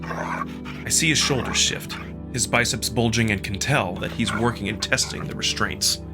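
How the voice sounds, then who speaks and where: A man reading first-person fiction as a narrator, in an expressive, dramatic storytelling style. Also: on the low side, normal speed. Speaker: narrator and performer of a visual novel. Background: music.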